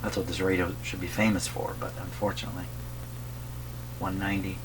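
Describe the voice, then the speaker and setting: Man, deep pitch, halting workbench narration, thinking aloud, clear background hum. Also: flat delivery. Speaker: host of a radio repair video. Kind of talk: casual hands-on demonstration commentary. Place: radio workshop.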